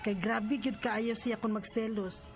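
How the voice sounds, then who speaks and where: A woman's voice: a little high, fairly even intonation, unhurried, a little mellow, faint music behind, natural voice. A woman playing an emotional, pleading young wronged mother in a radio drama, with a Cebuano Filipino accent. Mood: anxious, sorrowful. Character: Liza, distraught young mother wronged by her jealous partner.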